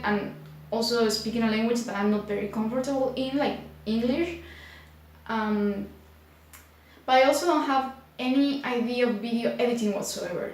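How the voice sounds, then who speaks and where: A young woman from Spain speaking English with a Spanish accent, chatting casually to camera in an unhurried way, full of fillers like "like". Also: on the high side, a little mellow, a little hiss or hum. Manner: unhurried, many filler likes, slightly unsure. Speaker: booktuber. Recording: very echoey room.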